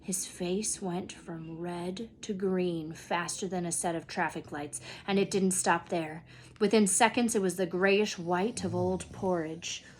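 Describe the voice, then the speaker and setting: A woman's voice: lowish voice, steady tone, a little slow, slight background noise. A woman reading a children's story aloud expressively, as a teacher would.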